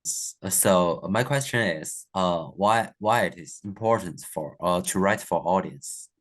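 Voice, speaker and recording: Hesitant eighteen-year-old man, deep voice. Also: expressive. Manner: hesitant, many "uh" pauses. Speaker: student panelist. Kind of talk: student podcast discussion.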